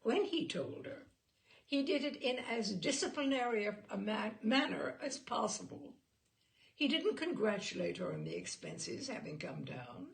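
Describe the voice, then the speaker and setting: A woman reading a literary short story aloud as a storyteller, in an animated, theatrical manner. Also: on the high side, normal speed, vocal fry.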